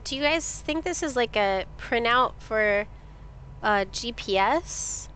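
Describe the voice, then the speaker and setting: A woman's voice: on the high side, expressive, takes it slowly, a bit bright, a little hiss or hum. A woman streaming a game, sniffly, chatting casually with viewers and reading things aloud. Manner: chatty, reads things aloud, asks chat questions. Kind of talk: casual live-stream commentary.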